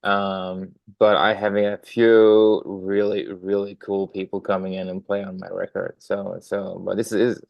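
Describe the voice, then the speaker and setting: A man's voice: low-pitched, monotone, measured pace, gravelly, few pauses, dry recording, highs cut off. A young Danish man, a drummer, chatting as a podcast guest with lots of ums and restarts. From Denmark. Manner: many fillers and restarts, friendly rambling. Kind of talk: casual interview talk.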